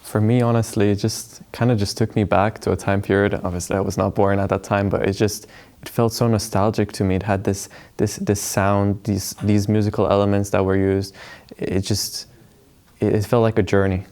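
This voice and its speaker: A man's voice: on the low side, little pitch movement, moderate pace, darkish tone. An eighteen-year-old young man from Romania giving a reflective answer with many repetitions. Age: teens. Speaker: interviewee.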